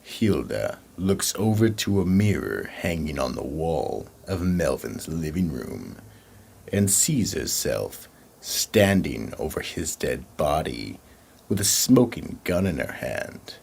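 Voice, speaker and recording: A man narrates a radio mystery with a very deep voice and slow, deliberate pace. His delivery swings widely in pitch for suspense. There is faint noise behind him. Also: sing-song, vocal fry, darkish tone, husky. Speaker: narrator and announcer.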